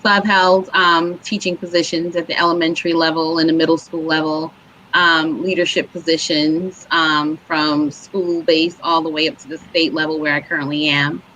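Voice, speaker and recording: A middle-aged woman from Barbados, an education administrator, answering interview questions in a measured, articulate way over a video call. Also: deep voice, level tone, bright, forceful tone, slight background noise. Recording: dull lo-fi call audio.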